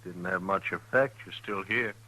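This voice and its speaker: Low, laconic frontier station keeper. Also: male voice, normal speed, sharp tone, low-quality recording. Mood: guarded. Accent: American. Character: lonely, resigned western stagecoach station keeper. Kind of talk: old-time radio western acting. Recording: vintage radio recording.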